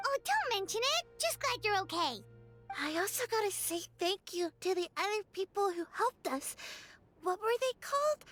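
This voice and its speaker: Very high squeaky little girl companion. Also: big pitch swings, moderate pace, fairly bright tone, soft background music, exaggerated voice. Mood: chirpy, friendly. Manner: exclamatory, childlike, eager. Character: tiny cheerful excitable fairy-like girl companion. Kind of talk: video game voice acting.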